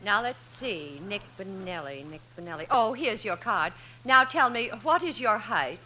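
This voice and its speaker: An actress playing a businesslike college secretary, brisk and official, in a 1950s radio comedy. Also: female voice, medium pitch, expressive, sharp tone, a little hiss or hum.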